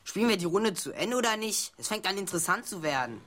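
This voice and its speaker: An actress in a radio drama playing a bossy, impatient poker player who talks in blunt slang. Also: female voice, mid-range voice, expressive, quick pace, a bit bright, close to an everyday voice. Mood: brisk, pushy.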